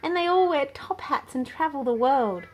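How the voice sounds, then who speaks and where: A woman voicing a bubbly, playful young woman dancer from a storybook, excited and singsong. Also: high-pitched, moderate pace, voice pushed up.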